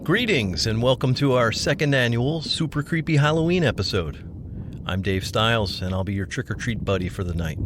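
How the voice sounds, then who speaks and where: A man's voice: medium pitch, animated, fairly quick, noisy background. A man giving a scripted podcast introduction as host. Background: music.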